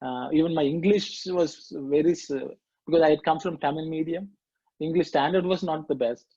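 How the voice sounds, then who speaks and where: A middle-aged man with a Sri Lankan accent, recounting his past in a reflective, halting way as a guest speaker. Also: on the high side, dark tone, husky, no echo. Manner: reflective, halting with fillers and restarts. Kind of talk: personal testimony talk. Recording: muffled lo-fi dry audio.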